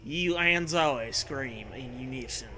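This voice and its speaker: A man reading a comedy story aloud in an animated way, acting out the dialogue and bantering with casual swearing. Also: medium pitch, takes it slowly, a little hiss or hum.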